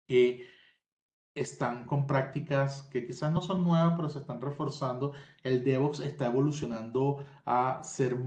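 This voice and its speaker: A man's voice: medium pitch, warm tone. A man with a Venezuelan accent giving a technical webinar presentation over a video call, explaining step by step and correcting himself as he goes. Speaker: tech presenter. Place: home office in Colombia. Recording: dull, roomy webinar audio.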